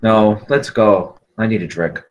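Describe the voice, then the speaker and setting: A man's voice: on the low side, a little slow, lo-fi sound, natural voice. A man at a script table read playing an anxious, broke student who is reluctant about becoming a father.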